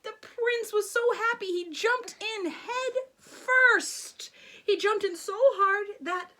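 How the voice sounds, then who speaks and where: A woman's voice: very high voice, slow, pushed and bright. A woman reading a children's bedtime story expressively, with theatrical, rhythmic delivery of the rhymes. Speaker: storybook reader.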